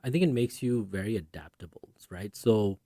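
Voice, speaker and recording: A man in his thirties from India, a podcast guest telling his story in a relaxed way, often tagging lines with "right?". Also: lowish voice, moderate pace, no room sound, clean studio sound. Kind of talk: conversational interview.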